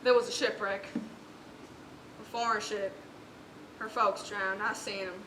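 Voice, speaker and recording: A woman's voice: medium pitch, steady tone, measured pace, a bit bright, close to an everyday voice. A woman playing a pushy, scheming backwoods drifter in a stage play, with folksy, ungrammatical, wheedling talk. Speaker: student actor in a one-act play. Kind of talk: projected stage acting. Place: indoor stage, recorded live. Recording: echoey stage, distant mic, faint noise.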